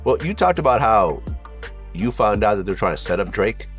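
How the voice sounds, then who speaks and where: A man's voice: fairly low pitch, sing-song, normal speed. A man speaking as an interviewer in a conversational style. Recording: music laid under interview clip.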